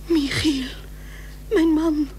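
An anxious, loving seafarer's wife, high and pleading, in an old radio drama with slight hum. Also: female voice, fairly even intonation, drawn-out words, a little mellow. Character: anxious, loving wife of a seventeenth-century admiral.

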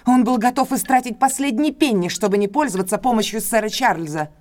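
A betrayed but resolute lady from a classic detective audio drama , played by a woman. Her voice sits somewhat high. She speaks formally and earnestly, with proud, emotional intensity. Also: brisk, darkish tone, close to an everyday voice. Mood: subdued, confiding.

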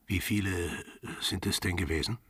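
An actor playing a gruff, mocking sailor with an Austrian accent and a rough voice in a radio play. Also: male voice, low voice, fairly even intonation, moderate pace, sharp tone, dry recording, studio quality, heavily acted. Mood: grim, sardonic. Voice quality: gravelly, rough voice. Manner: mocking, blunt, swinging between mutter and bark. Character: gruff, sardonic, brandy-drinking sailor with a grudge.

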